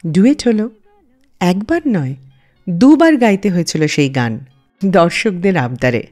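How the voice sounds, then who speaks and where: A woman hosts a radio show, narrating scripted anecdotes in a warm, mellow voice. Her delivery is animated, with a wide, lively pitch line. Soft music plays faintly behind her. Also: unhurried. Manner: expressive storyteller, lively rise and fall. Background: faint background music.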